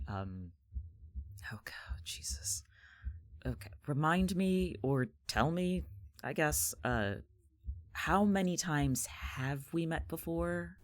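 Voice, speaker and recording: Man playing a hesitant, slow-talking, bewildered guy, dry sound. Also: mid-range voice, dry recording, natural voice. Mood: shaken, muttering. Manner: hesitant, muttering, wry. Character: bewildered, weary man confronting a godlike being. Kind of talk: naturalistic audio drama acting.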